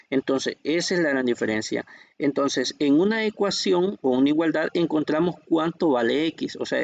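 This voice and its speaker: A man's voice: on the high side, gravelly, a little mellow, no room sound, muffled recording. A man with a Salvadoran Spanish accent, teaching a math lesson from a textbook, patient and checking in with the class often.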